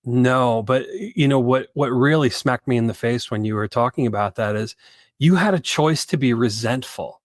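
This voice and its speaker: A man's voice: medium pitch, moderate pace, dry recording, studio quality. A man co-hosting a podcast, speaking earnestly and repeating words for emphasis. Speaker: podcast co-host. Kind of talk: reflective conversation.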